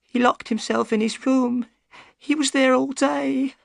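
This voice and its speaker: A reader voicing a tall, frightened old housekeeper who pleads in a high, trembling voice. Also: steady tone, a little slow, gravelly, no echo, studio quality, full character voice.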